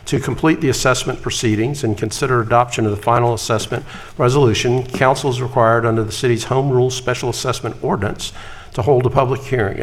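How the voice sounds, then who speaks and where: A man chairing a city council meeting, running through motions and votes in a brisk, procedural way with light jokes. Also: medium pitch.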